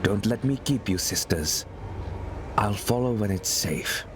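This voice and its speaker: A wise, grave mentor, gives counsel in a deep voice and at a slow, measured pace. It is solemn fantasy game acting with clear background noise. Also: male voice, lively intonation. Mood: calm. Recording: noisy game ambience behind voice.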